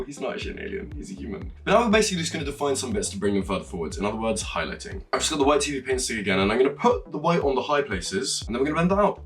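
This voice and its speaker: Young male vlogger from Norway, quick and animated, casual and cheeky, mic a little far off. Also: mid-range voice. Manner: chatty and animated, swearing and laughing while explaining. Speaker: makeup vlogger. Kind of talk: casual tutorial chat.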